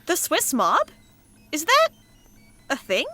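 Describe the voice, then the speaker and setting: Very high-pitched, earnest spy. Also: female voice, huge pitch swings, slow pace, sharp tone, dry recording, heavily acted. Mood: earnest, puzzled. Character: earnest, quirky, eager female spy agent. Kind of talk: comedy audio drama acting.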